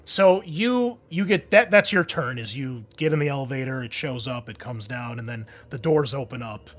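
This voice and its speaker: A man's voice: medium pitch, animated, moderate pace. A man running a tabletop roleplaying game, narrating scenes and explaining rules to players in a casual, informal way.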